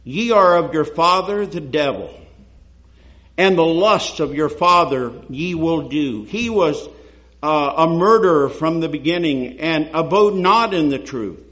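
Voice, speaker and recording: Man, pastor, sermon, slow deliberate delivery, slightly high voice. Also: a bit bright, dull audio. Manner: preaching with rising emphasis, reading scripture aloud.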